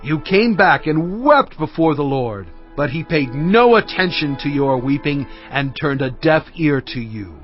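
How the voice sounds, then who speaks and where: A man's voice: on the high side, big pitch swings, unhurried, few pauses. A man playing an impassioned leader who recounts and admonishes, oratorical and solemn, in dramatized scripture. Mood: reproachful. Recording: dull audio with music underneath.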